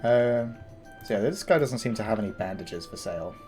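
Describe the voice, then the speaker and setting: A man with a gravelly morning voice, casually commenting on his game as he plays, thinking aloud with fillers and restarts. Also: lowish voice, expressive, a little fast, darkish tone, faint music behind. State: tired morning voice. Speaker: gameplay commentator. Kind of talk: casual let's-play commentary.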